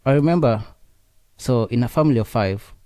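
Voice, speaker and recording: Kenyan-raised man, dark mellow voice. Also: medium pitch, no echo. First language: Nandi. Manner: halting, frequent fillers and restarts. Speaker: interview guest. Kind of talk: casual conversational interview.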